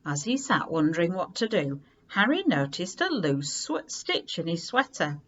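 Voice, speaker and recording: A woman with a slightly low voice reads a picture book for children. She takes her time and lets her pitch rise and fall dramatically for emphasis. The recording sounds a bit dull and lo-fi. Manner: unhurried, animated, dramatic emphasis. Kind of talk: expressive read-aloud children's story narration.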